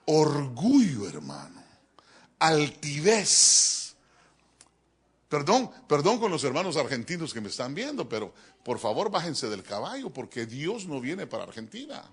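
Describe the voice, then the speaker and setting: A man preaching a sermon as a pastor, animated and joking, often addressing his listeners as brother. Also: mid-range voice, husky. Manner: animated, joking, keeps calling listeners "hermano". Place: church.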